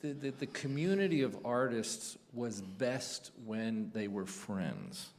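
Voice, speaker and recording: A man's voice: medium pitch, sing-song, slow pace, darkish tone, slight background noise. A man hosting a radio show on stage, chatting casually and repeating phrases for emphasis. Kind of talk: casual onstage interview chat. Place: stage of a live music show. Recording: reverberant hall.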